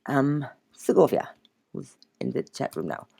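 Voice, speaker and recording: Creaky-voiced co-host addressing listeners. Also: mid-range voice, wildly swooping pitch, a little slow, mellow. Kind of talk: casual announcement to listeners.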